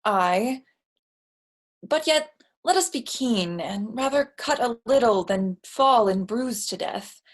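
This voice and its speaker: Woman as male statesman, merciful, reasoning, measured, fairly high pitch, Shakespearean. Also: animated. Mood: pleading reason. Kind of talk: Shakespearean staged reading.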